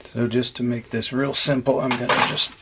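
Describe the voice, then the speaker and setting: A man casually talking through a hands-on repair as host, unhurried and dryly humorous. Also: medium pitch, level tone, a bit bright. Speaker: host of an electronics repair video. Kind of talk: casual hands-on demonstration commentary. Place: indoor workbench. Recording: clear background noise.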